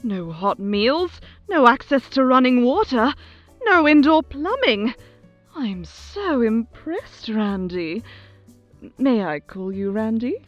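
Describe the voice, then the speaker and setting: A woman's voice: fairly high pitch, sing-song, takes it slowly, dry recording, muffled recording, faint music behind. A woman playing a regal, self-adoring overlord who hosts her own broadcast in a theatrical, condescending, grandly gracious way in an audio drama. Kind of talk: audio drama acting as a radio show host.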